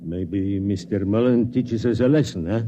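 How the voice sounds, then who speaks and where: Strong foreign man, acted by a man, lowish voice, stolid, terse broken English, vintage radio drama, clear noise. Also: normal speed, natural voice. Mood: stolid, resolute. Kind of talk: 1950s radio drama acting. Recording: muffled, noisy 1950s radio recording.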